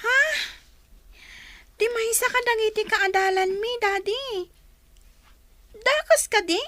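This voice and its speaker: Woman playing a very high-pitched, pleading schoolgirl. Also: takes it slowly, sharp tone, dry recording, full character voice. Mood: defensive. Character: young schoolgirl daughter, earnest and protesting. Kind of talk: radio comedy-drama acting.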